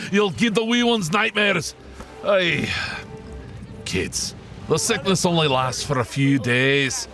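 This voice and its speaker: A man playing a boisterous, teasing sailor in an audio drama, with salty dialect and a booming, hearty manner. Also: animated, takes it slowly, long pauses, pushed and bright, full character voice. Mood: jolly teasing. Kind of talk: scripted audio drama acting. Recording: music behind the voice.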